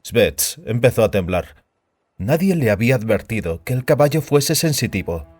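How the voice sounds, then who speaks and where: A man narrating an audio story in a scripted, dramatic style with a measured, solemn storyteller's delivery. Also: mid-range voice, big pitch swings, darkish tone, dry recording. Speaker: narrator and host of an audio fiction show. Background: background music.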